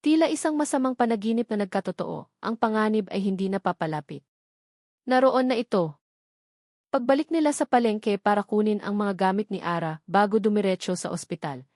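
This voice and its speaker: A woman's voice: mid-range voice, lively intonation, a little fast, a little mellow, no room sound. Dry-sounding woman narrating melodrama. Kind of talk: dramatic scripted story narration.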